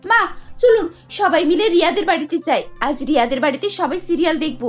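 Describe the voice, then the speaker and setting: A high-voiced young wife in a cartoon , played by a woman, hooked on her TV serials. She talks in an eager, pleading rush. Music plays softly behind her. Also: lively intonation, fast talker, dull audio. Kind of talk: cartoon voice acting.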